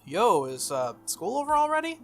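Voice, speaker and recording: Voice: mid-range voice, huge pitch swings, normal speed, voice pushed up. A game player voicing an easygoing teenage schoolboy who asks questions in a casual, hesitant way.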